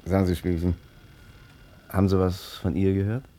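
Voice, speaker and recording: An actor playing a chatty, plain-spoken, good-hearted barber in a colloquial, familiar manner in a 1950s German radio play. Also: male voice, deep voice, level tone, moderate pace, mellow. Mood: brisk, casual.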